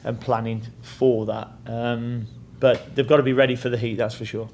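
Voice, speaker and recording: English football coach with a flat voice. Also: male voice, mid-range voice, moderate pace, a little hiss or hum. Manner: plain-spoken, repeats words while thinking, lots of "you know". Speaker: head coach. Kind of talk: press conference answers.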